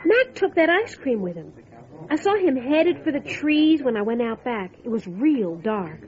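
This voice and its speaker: A wry, chatty diner waitress in an old-time radio horror drama. Her high voice swoops expressively as she muses and tells tales. The sound is slightly dull and lo-fi. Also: a little slow. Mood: uneasy. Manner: musing, expressive storytelling. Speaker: voice actor.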